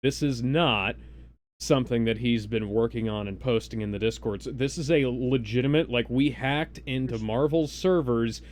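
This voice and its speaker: A man hosting a live stream, casually explaining things in a halting, rambling way with mock-serious asides. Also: medium pitch, darkish tone, a little hiss or hum. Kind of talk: casual live introduction, explaining party game rules.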